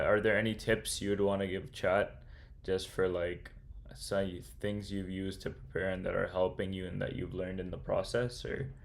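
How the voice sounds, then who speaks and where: A man's voice: low voice, gravelly. A young man casually livestreaming as he works through a coding problem, thinking aloud and chatting with viewers in a laid-back, slangy way. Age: young adult. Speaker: streamer and computer science student.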